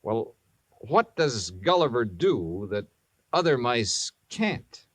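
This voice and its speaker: An actor playing a skeptical American insurance investigator in a 1950s radio drama, with dry, wry delivery. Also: male voice, medium pitch, very animated, slow pace. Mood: doubtful. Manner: dry, wry, hesitant when doubtful. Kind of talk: 1950s radio drama, hard-boiled narration. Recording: old radio broadcast, faint music.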